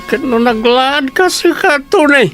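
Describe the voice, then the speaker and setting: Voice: high voice, animated, a little slow, fairly bright tone, faint music behind. An actor with an Ilocano accent playing a boastful, lovestruck village drinker in a comedy radio drama. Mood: teasing.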